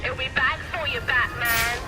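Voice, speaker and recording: Woman acting a high-voiced British gangster henchwoman. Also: normal speed, close to an everyday voice. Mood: sneering. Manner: scolding, bossy. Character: bossy tough female gangster henchwoman, sneering. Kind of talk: video game character acting. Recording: loud music behind.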